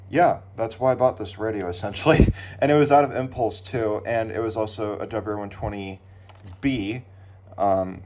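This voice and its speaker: A man giving casual, unscripted commentary as a hobbyist doing an unboxing, speaking haltingly with pauses. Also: fairly low pitch, darkish tone. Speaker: hobbyist unboxing weather radios. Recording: clear background noise, handling clicks.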